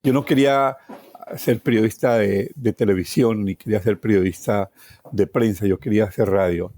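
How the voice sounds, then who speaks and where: A middle-aged man with a Colombian accent recounts his own life as a personal story, phrasing deliberately and repeating words for emphasis. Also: on the low side, fairly even intonation, dark tone, no room sound. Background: plucked guitar music.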